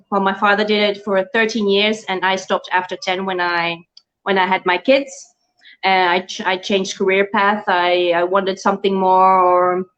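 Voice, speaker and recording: A woman's voice: medium pitch, highs cut off. Middle-aged Dutch-raised woman, hesitant. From Netherlands. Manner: hesitant, many restarts and fillers, laughs often. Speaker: guest. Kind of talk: casual interview talk.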